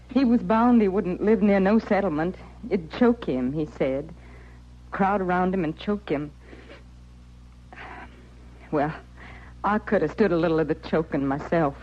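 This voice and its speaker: An actress with an American accent playing a worn, stubborn young widow on the prairie in plain rustic speech for a radio western. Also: female voice, on the low side, animated, a little slow, long pauses, dark tone. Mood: bleak. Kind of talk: old-time radio western acting. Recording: muffled old radio recording.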